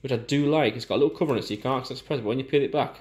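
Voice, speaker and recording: A man with a British accent presents a casual unboxing review. He talks informally, explaining each part as he goes. His pitch sits in an ordinary middle male range. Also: rapid-fire, darkish tone. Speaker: product reviewer.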